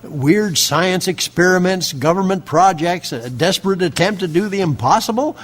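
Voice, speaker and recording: A man's voice: sits high, moderate pace. An actor playing a sardonic, blustery everyman who grumbles and wisecracks in a family radio drama. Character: wisecracking, grumbling everyman friend with comic flair.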